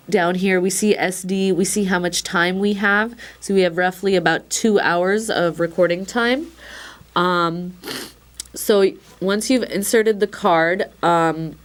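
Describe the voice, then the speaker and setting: Slightly hoarse woman with a lowish voice, informal how-to demo presenter. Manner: chatty and informal, with many fillers and wry asides. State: a little hoarse. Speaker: instructor demonstrating a recorder. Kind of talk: casual product demo.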